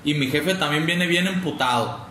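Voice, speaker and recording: Young Mexican prank host, slangy mock tough talk, far camera in a living room. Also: male voice, on the high side, noisy background. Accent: Mexican Spanish. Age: young adult. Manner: mock-threatening, slangy, fast. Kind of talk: prank phone call. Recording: echoey room.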